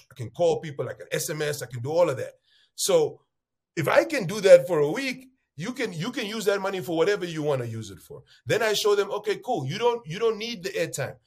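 A man from Namibia, a guest on an interview show, speaking loudly and telling stories with quoted voices. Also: high voice, slightly rough. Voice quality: loud voice. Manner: acts out bar conversations, lively quoted voices. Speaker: bitcoin entrepreneur guest. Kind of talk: animated interview storytelling.

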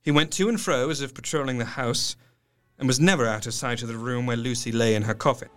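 An actor playing a grieving young doctor, narrating his diary in a measured, literary and solemn way. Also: mid-range voice, steady tone, clear, resonant tone, lightly acted. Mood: grave. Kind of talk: dramatic first-person audio drama narration. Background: music.

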